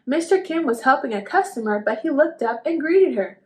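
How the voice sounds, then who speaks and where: A woman reading a children's picture book aloud as an expressive narrator. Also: high voice, a little fast.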